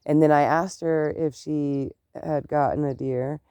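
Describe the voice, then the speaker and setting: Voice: medium pitch, fairly even intonation, a little slow, warm tone, clean tone, no room sound. A vlogger with a stuffed-up nose and clogged ears, chatting casually and rambling to the camera, outdoors on a rural property. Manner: chatty, meandering, exclaims often.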